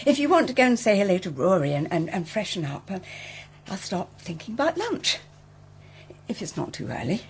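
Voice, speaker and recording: A woman's voice: mid-range voice, sing-song, brisk, slight background noise. An actress playing an anxious, self-sacrificing older mother in a naturalistic radio drama, genteel, hesitant and rueful. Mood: gently fussing.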